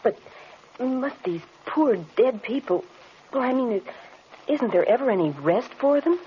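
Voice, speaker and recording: A muffled vintage radio recording with slight noise carries an earnest young female assistant. She is polite and questioning, with lively, wide-moving intonation in classic radio-play style. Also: fairly high pitch, unhurried. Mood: earnest, uneasy. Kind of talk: old-time radio drama acting.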